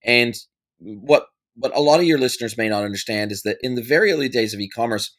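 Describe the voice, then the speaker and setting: A man in his forties with an American accent and a bright, forceful voice talks at an easy middle pitch. He tells his story loosely, with restarts and fillers, as a guest in an interview. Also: quick pace. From United States. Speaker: podcast guest, e-commerce consultant. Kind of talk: conversational interview answer.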